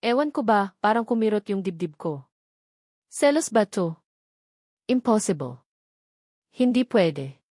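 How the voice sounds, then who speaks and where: A woman's voice: medium pitch, lively intonation, a little slow, long pauses, darkish tone, no room sound, studio quality. A woman voicing a lovestruck, anxious young woman in an audio drama, musing hesitantly. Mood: smitten, wistful.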